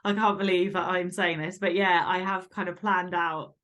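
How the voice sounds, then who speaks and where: A woman's voice: on the low side, steady tone, moderate pace, a bit bright. A woman chatting casually as an interview guest, candid and blunt, swearing freely. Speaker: podcast guest and fantasy romance author.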